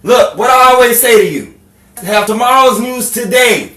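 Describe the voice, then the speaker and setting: A man playing a gruff, blustering, bossy 1930s newspaper editor with hard-boiled slang in an old-time radio drama. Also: very high-pitched, expressive, moderate pace, sharp tone, heavily acted. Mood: barking, impatient. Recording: distant roomy recording with faint noise.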